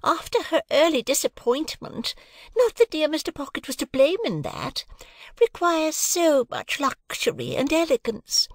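A man's voice: very high voice, very animated, measured pace, no room sound, voice pushed up, exaggerated voice. A man voicing a gushing, fawning middle-aged lady, a toadying neighbour in a Victorian novel audiobook, cloyingly sentimental. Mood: gushing, tearful sympathy.

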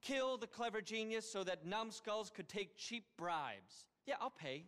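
An actor playing an eccentric, egotistical, paranoid tech billionaire with a pompous keynote-style delivery in a video game. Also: male voice, sits high, lively intonation, a little slow, fairly bright tone. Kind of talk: video game cutscene acting. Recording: long reverb of a cavernous hall.

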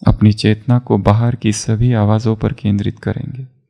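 A man guiding a relaxation meditation as an instructor, giving calm, measured instructions with long pauses. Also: on the low side, vocal fry. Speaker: yoga nidra meditation instructor.

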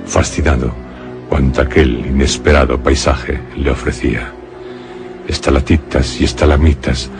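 A man's voice: very deep voice, a little mellow. A man from narrating a scripted radio horror and suspense drama in a solemn, dramatic storytelling manner. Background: music.

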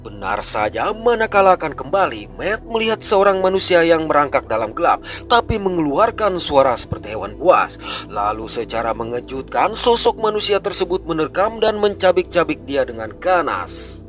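A man narrating a film recap in a brisk, scripted storytelling way. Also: on the high side, sing-song, a bit bright, soft background music. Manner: brisk storytelling, rising and falling delivery.

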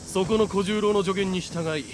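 An actor playing a shrewd, exuberant warlord in a samurai video game, with rustic old-fashioned speech. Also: male voice, high-pitched, steady tone, normal speed, music in the background, natural voice. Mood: stern, commanding. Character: shrewd, exuberant warlord becoming ruler of the realm.

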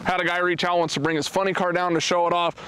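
A man's voice: high voice, level tone, quick pace, sharp tone, a little hiss or hum. A man in his thirties hosting a casual promotional vlog outdoors at a race track, filming himself at arm's length and talking energetically with his hands. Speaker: automotive vlogger, event organizer. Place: outdoors at an open, windy race track facility. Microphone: camera held at arm's length.

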